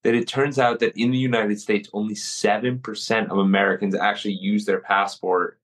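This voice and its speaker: A man hosting a podcast interview, upbeat and asking quick follow-up questions. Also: lowish voice, flat delivery, a bit bright. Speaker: podcast host.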